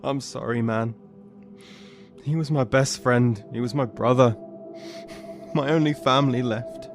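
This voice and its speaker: An actor plays a blunt, grieving male survivor in an audio drama. His voice is mid-pitched and his lines are terse, with music underneath. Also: steady tone, measured pace, natural voice.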